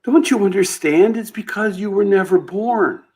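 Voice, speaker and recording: A man playing a gentle, earnest guardian angel who patiently explains things, in old-time radio play style. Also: high voice, moderate pace, lightly acted.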